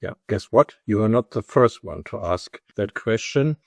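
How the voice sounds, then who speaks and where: A man's voice: on the low side, expressive, creaky, no room sound. German-accented middle-aged man, dry sound. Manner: measured, storytelling, speaks in full explanatory sentences. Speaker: guest, company executive and lecturer. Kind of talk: conversational podcast interview.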